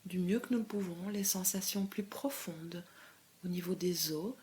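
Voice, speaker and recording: A woman leading a guided meditation as a calm, measured guide, giving gentle step-by-step instructions with pauses. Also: fairly low pitch, a little hiss or hum. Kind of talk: guided body scan meditation.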